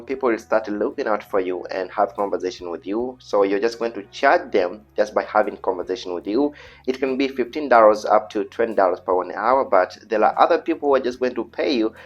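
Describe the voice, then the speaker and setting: A man with a Tanzanian accent, a native Swahili speaker, presenting an informal tutorial in an earnest, repetitive, persuasive way. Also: fairly low pitch. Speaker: online video host. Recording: dull lo-fi voice recording with music. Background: background music.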